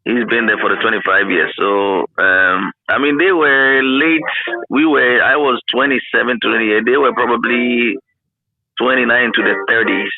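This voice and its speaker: A man's voice: mid-range voice, steady tone, moderate pace, gravelly, soft background music. A man in his fifties with a Ghanaian accent, reminiscing in a casual interview and often repeating phrases for emphasis. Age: 50s. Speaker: interview guest, former radio pioneer. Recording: narrow phone-like line.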